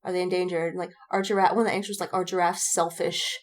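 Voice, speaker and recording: A woman's voice: lowish voice, flat delivery, fast. A woman co-hosting a podcast, chatting casually and retelling stories with mock-dramatic acted-out quotes. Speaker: podcast co-host. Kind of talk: casual fan chat, animated retelling.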